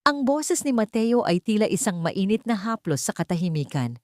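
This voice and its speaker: A woman narrating a scripted dramatic story in an earnest, sentimental, measured way. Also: medium pitch, very animated, darkish tone, no room sound, clean studio sound.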